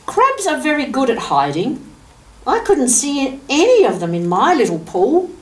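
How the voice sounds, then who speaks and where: A woman's voice: high-pitched, wildly swooping pitch, unhurried. An Australian woman in her sixties reads a picture book aloud to young children, adding asides, explanations and questions for them. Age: 60s. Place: furnished office room indoors, seated. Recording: distant microphone, noticeable room background noise.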